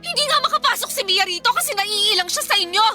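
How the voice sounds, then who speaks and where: Indignant young daughter, shrill pitch, swooping intonation, radio drama, music behind. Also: female voice, lively intonation, fast, pushed and bright, heavily acted. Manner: scolding, confrontational. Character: indignant breadwinner eldest daughter, young woman, strict. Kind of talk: Filipino radio drama acting.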